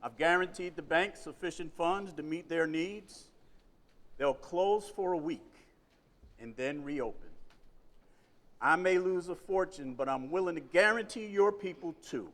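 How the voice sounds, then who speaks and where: An actor playing a scheming, menacing rich banker in a live stage radio play, smooth-voiced. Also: male voice, on the high side, measured pace, a bit bright. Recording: long hall reverb.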